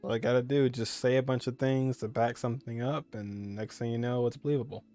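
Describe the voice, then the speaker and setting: A man's voice: medium pitch, steady tone, quick pace, mellow, faint music behind. A young man giving casual, joking, skeptical commentary as he plays a game. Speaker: gamer, let's player.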